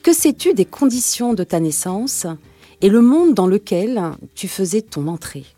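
A woman hosting a podcast, reading an introduction and asking calm, measured interview questions. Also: mid-range voice, dry recording, faint music behind. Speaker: podcast host.

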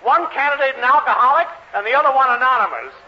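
An actor playing a brash, excitable newsman in a 1940s radio sitcom, scornful and full of exclamations. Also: very high voice, quick pace. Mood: gleeful scorn. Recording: muffled, very noisy old radio recording.

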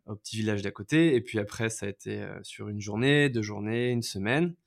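Low-voiced hesitant man telling his story. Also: brisk, gravelly. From France. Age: 30s. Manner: hesitant, many fillers and restarts. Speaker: podcast guest. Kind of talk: casual storytelling interview.

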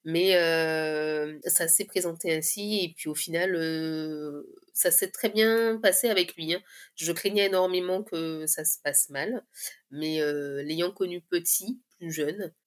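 A woman in her thirties giving a candid personal testimony in conversation, often pausing mid-phrase as she searches for words. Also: deep voice. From Guadeloupe. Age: 30s.

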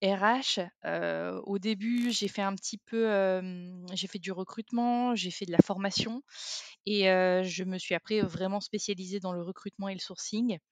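A woman in her thirties from the south of France talks through her job as a podcast guest. She chats loosely with many fillers and restarts, at a middle pitch. The sound is dry and close. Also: fairly even intonation, normal speed, dry recording. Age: 30s. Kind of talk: casual interview talk.

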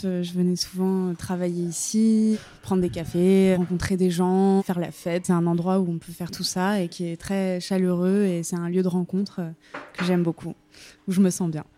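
A young woman from France talks in a low, warm, mellow voice. She speaks thoughtfully, pausing and hesitating often, recorded in a café-restaurant. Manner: thoughtful, hesitant with many fillers. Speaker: guest, film script supervisor. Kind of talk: conversational interview.

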